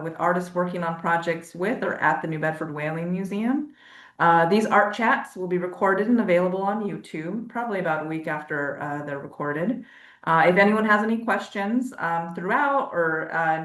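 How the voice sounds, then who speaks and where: A woman hosting an informal online artist interview as a museum curator, speaking in a hesitant, halting way. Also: fairly low pitch, a bit bright. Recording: roomy, echoey sound.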